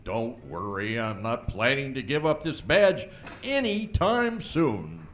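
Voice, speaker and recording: Casting: man as a folksy sheriff, teasing, very lively voice. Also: medium pitch, takes it slowly, slight background noise. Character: folksy small-town male sheriff, flirtatious.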